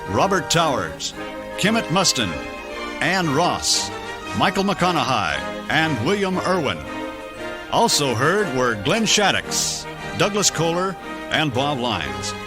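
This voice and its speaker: A man narrating and announcing a pulp radio serial in a dramatic, scripted old-time style. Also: a little high. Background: music.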